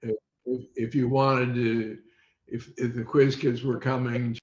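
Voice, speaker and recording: A man in his eighties or older reminisces as an interview guest, halting and measured, with long pauses, on a video call. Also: medium pitch, little pitch movement, a little mellow, slight background noise. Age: 80s or older. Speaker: interview guest, former radio quiz show child panelist. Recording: dull, roomy video call audio.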